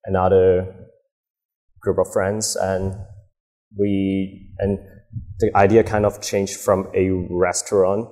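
A young man in a fairly low voice. He answers at an unhurried, halting pace, full of fillers and restarts, recorded close on a lapel mic. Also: mellow. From Hong Kong. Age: 20s. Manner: hesitant, many fillers and restarts. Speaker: guest, bar co-owner. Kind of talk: casual interview answers. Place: dim bar set with a host.

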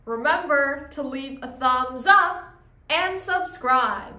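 A young woman reading a children's picture book aloud as host, in an animated, carefully enunciated way. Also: sits high, slow. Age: young adult. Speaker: host and children's story reader. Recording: echoey indoor room.

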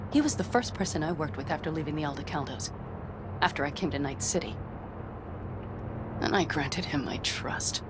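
Over clearly audible vehicle noise comes a fiery young nomad woman. Her voice swings widely in pitch as she pushes her point. She is blunt, foul-mouthed and headstrong. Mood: bitter. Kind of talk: video game voice acting. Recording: loud vehicle noise and game ambience.

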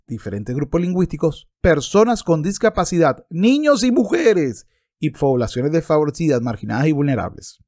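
A man's voice: fairly high pitch, dark tone. A man in his thirties speaking emphatically and argumentatively, as in an opinionated video commentary, close to a desktop microphone. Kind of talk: opinionated commentary with passages read aloud. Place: book-lined room at home, indoors. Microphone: desktop microphone close in front.

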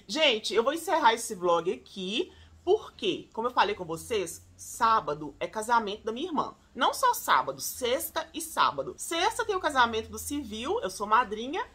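Woman, 40s, home beauty vlogger, high crisp voice, chatty, talks to camera at close range. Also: normal speed. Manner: animated, chatty, often addresses viewers as "gente". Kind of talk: casual product recommendations to camera. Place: home room, indoors.

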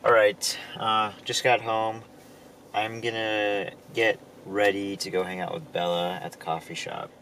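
A man's voice: low voice, fairly bright tone. A young man talking casually to the camera in a vlog, laughing often, on a hiking trail, in his car and at home. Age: young adult. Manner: laid-back, laughs often, talks to camera. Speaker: vlogger. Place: outdoor hiking trail, then car and kitchen. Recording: noisy outdoor camera audio.

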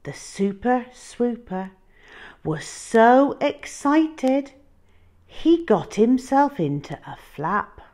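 Female picture-book reader, high voice, hugely animated, dramatic drawn-out phrasing for small children. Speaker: children's storyteller. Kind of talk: expressive picture-book reading.

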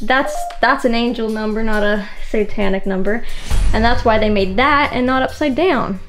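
A young woman in her twenties vlogging on a handheld phone as she explores an abandoned house, chatty and excitable. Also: a little high, moderate pace, soft background music. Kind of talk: casual exploring vlog. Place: outdoors and inside an abandoned house. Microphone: handheld phone at arm's length.

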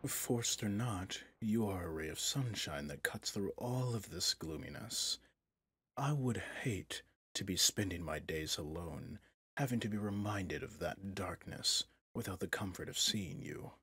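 A man acts out a manipulative, possessive villain prince in an intimate ASMR audio drama. His voice is lowish and heavily breathy, recorded close and dry. He speaks in soft, measured, courtly phrases with smooth menace. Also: lively intonation, dry recording, exaggerated voice. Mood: possessive, coaxing. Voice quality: breathy, husky.

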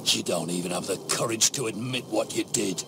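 Man acting as middle-aged male officer, gravelly, contemptuous, menacing, dramatic game delivery, noisy backdrop. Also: on the low side, lightly acted. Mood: cold accusation. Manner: deliberate, scornful, threatening.

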